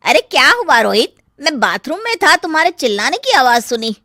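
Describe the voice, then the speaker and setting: Woman as a young cartoon man, easygoing, polite, teasing, bright, animated intonation. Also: high-pitched, fairly quick, no echo, studio quality, heavily acted. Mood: concerned, teasing. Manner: friendly, lightly teasing. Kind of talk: Hindi cartoon story dialogue.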